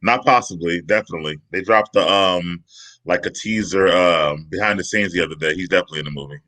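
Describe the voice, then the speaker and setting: A man's voice: low-pitched, quick pace, pushed and bright. A man in casual banter as a panelist, talking informally with slang and short affirming replies. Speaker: talk show panelist.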